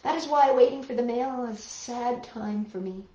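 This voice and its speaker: A woman's voice: on the high side, moderate pace, mellow, pitched above the performer's own voice, natural voice. Woman reading as a glum storybook toad. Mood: sad. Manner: mournful, grumbling, resigned. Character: glum, resigned male toad, storybook animal. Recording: roomy, dull lo-fi sound.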